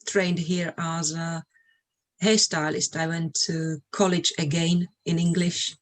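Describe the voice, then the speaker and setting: Middle-aged Slovak-accented woman, slightly low voice. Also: level tone, takes it slowly. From Slovakia, Eastern Europe. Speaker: interview guest. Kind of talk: casual conversational interview, personal recollections.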